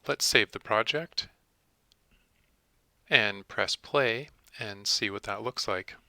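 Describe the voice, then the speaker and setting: A man narrating a step-by-step software tutorial in a methodical, instructional manner. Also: fairly low pitch, takes it slowly, dry recording, studio quality.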